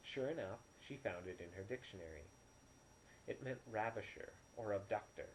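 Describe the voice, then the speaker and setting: A man's voice: on the low side, expressive, moderate pace. A man reading a novel aloud as an amateur storyteller in audiobook style. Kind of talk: audiobook narration. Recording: noisy, poor-quality home recording.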